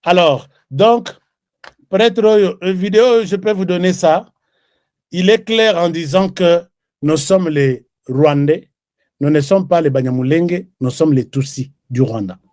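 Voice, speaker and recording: Casting: man, talk-show host, slightly high voice, dry close sound, loose chatty delivery. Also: unhurried, darkish tone, no room sound. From DR Congo. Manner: chatty, switches between French and Lingala, reads viewer comments. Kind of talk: live political commentary show.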